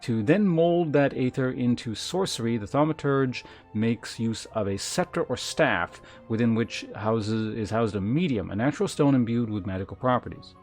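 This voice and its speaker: A man's voice: medium pitch, normal speed, hardly any pauses, a little mellow. A man livestreaming a game, chatting informally as he thinks aloud and reads game text. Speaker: game streamer. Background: game music.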